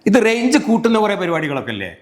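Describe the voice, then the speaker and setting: A man's voice: sits high, sing-song, quick pace. A middle-aged man hosting a casual promotional interview, chatty and prompting his guest with tag questions. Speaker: host interviewing a shop seller.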